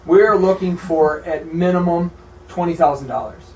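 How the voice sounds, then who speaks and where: An American man with a slightly high voice speaks earnestly and haltingly in an informal interview. The room echoes around him and he sounds some way from the microphone. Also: darkish tone. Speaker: military veteran, charity founder, interview guest. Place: indoor broadcasting room. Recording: echoey room, distant mic, faint noise.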